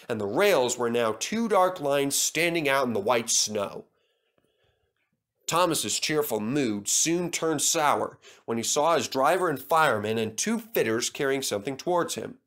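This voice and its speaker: A man's voice: mid-range voice, fairly bright tone. A man reading a children's story aloud as a scripted narration.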